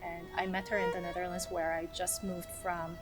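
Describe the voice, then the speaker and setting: A woman's voice: lowish voice, flat delivery, moderate pace. Woman musician from South Korea over music. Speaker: orchestral violinist. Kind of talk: casual scripted-outline self-introduction to camera.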